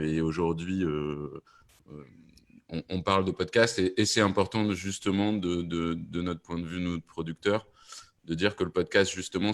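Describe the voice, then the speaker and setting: Really low male voice, panel guest chatting, keeps restarting and repeating words. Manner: halting, repeats words as he searches. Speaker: podcast producer, panel guest. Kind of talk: conversational panel discussion.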